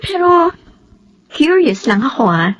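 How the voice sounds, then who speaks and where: An actress playing Abi, a young wife who cannot have children, speaking softly and hesitantly. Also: female voice, high voice, big pitch swings, takes it slowly, full character voice, poor audio. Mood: curious. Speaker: Abi, married woman. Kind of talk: Tagalog drama acting.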